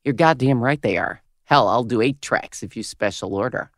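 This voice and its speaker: Crusty cynical 50s radio lady, brash, lots of gravel, audio comedy. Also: a bass voice, normal speed, no echo, clean studio sound. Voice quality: gravelly smoker's voice. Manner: brash, foul-mouthed, switching to syrupy on air. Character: crude, cynical, hard-bitten 57-year-old female radio host. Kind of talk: audio drama comedy acting.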